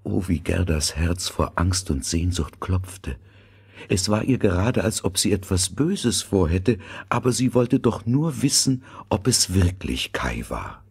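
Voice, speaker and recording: A man's voice: low-pitched, lively intonation, a little slow, darkish tone, husky. A man narrating a fairy tale in a scripted, storytelling style.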